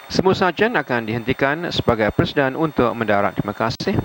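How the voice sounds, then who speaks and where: A man with a Malaysian accent reading formal cabin crew announcements in a halting way. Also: mid-range voice, big pitch swings, fairly bright tone. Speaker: airline cabin crew member. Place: aircraft cabin, over the PA. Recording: faintly noisy aircraft cabin announcement audio.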